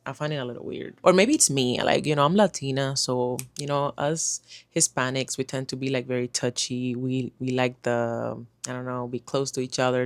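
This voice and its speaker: A woman in her twenties, a native Spanish speaker, talking casually to camera with many pauses and fillers. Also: a bass voice, no room sound. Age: 20s. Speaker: vlogger. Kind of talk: casual talking-head vlog.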